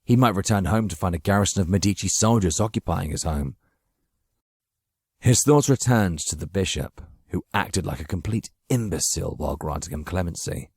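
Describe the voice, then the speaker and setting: A man's voice: deep voice, a little mellow, husky, dry recording, clean studio sound. A man narrating a dramatic story from a script as an audiobook reader.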